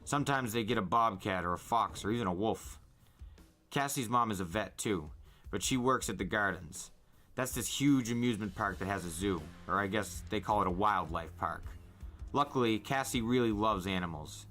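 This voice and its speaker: A man reads a story aloud with expressive, audiobook-style narration. His voice sits fairly low but has a bright, forward edge, and music plays behind him. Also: moderate pace. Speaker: podcast host reading a novel aloud. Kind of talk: first-person audiobook-style narration with acted dialogue.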